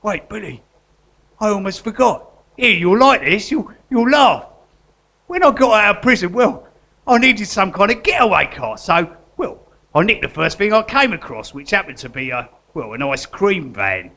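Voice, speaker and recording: An actor playing a matey, sentimental guerrilla artist convict in a live comedy radio drama. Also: male voice, high-pitched, big pitch swings, normal speed, vocal fry, pushed and bright, heavily acted. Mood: matey, amused. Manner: matey, colloquial, earnest.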